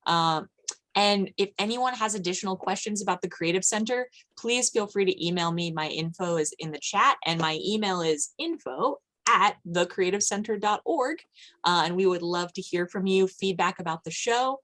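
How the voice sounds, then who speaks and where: A woman's voice: medium pitch, normal speed, fairly bright tone. A woman hosting an online event, giving informal closing remarks to the audience. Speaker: event host and moderator.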